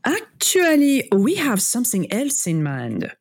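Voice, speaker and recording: Formal, highly expressive plot leader. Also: female voice, unhurried, breathy edge, no echo, studio quality, full character voice. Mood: composed. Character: composed, formal female leader of a secret plot. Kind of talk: audio drama acting.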